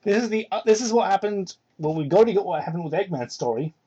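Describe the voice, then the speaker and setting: A man's voice: animated, fast, warm tone, husky. A man doing a mocking dramatic read-aloud with sarcastic, incredulous asides and theatrical emphasis, recorded on a cheap microphone. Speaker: host doing a dramatic reading of fan fiction. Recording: muffled, low-quality cheap microphone.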